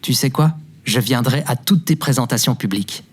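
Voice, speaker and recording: A man's voice: mid-range voice, normal speed, a little hiss or hum, natural voice. A man playing a devoted, self-deprecating young man who tells his story in a fiction podcast. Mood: tender, encouraging. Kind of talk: fiction podcast acting with narration.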